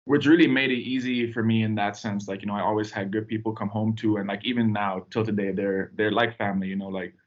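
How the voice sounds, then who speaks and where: A young man from Tanzania, a podcast guest, telling his story in a relaxed, rambling way with lots of fillers. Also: lowish voice, fast talker, few pauses, lo-fi sound. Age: young adult. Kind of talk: casual podcast chat.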